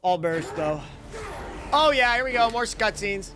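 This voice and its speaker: Excitable, high-voiced man reacting to a game. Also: measured pace. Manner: slangy, profane, excitable. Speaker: streamer. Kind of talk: casual gaming livestream reaction commentary.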